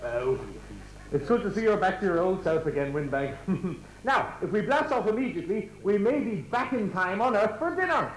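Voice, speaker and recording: A man playing a jolly, excitable old king with an Irish accent in an amateur stage pantomime, theatrical and exclamatory. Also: high-pitched, moderate pace. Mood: jovial. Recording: echoey, slightly noisy stage recording.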